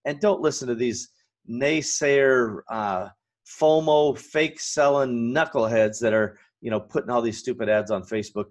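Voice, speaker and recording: A man in his forties hosting a solo business podcast from a home office, his voice hoarse and raspy, talking with energy and going off on rants. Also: medium pitch, measured pace. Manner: energetic, ranting, fillers and restarts. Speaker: podcast host.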